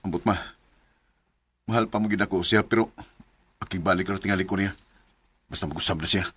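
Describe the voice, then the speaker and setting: Young Filipino male lover, Cebuano accent, low and forceful, frustrated yet devoted, radio drama, faint noise behind. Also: fairly quick. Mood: weary, conflicted. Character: frustrated young man in love, later tender and dutiful.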